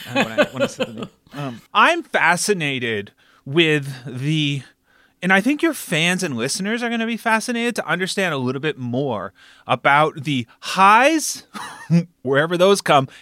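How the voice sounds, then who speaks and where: A man with a bright, forceful voice sits a little high in pitch as he interviews in a loose, conversational way. His phrasing is informal and stop-and-start, with lively swings in intonation. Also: no room sound, clean studio sound. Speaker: podcast interviewer and network founder.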